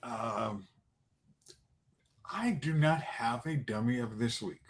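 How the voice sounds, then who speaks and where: A man's voice: medium pitch, slow. A man giving opinions in casual back-and-forth banter as a podcast panelist.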